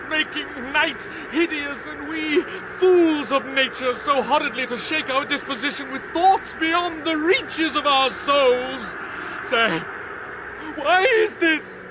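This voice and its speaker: A man's voice: very high voice, background noise. A man playing an anguished, reckless young prince in a radio drama, with theatrical, declamatory delivery. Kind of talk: classical radio drama acting.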